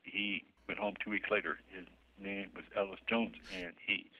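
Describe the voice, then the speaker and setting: A man in his sixties with a deep, low voice and a speech impediment. He tells his story haltingly and keeps repeating words. The audio is thin and tinny, like a phone line. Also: little pitch movement. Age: 60s. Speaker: interviewee. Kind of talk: personal account.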